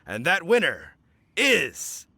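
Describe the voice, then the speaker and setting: A man's voice: fairly high pitch, wildly swooping pitch, vocal fry, bright, forceful tone, slight background noise, exaggerated voice. A man voicing a grandiose male contest judge in a video game, with formal, theatrical phrasing. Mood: solemn, dramatic. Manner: formal, theatrical, drawn-out delivery. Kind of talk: video game character voice acting.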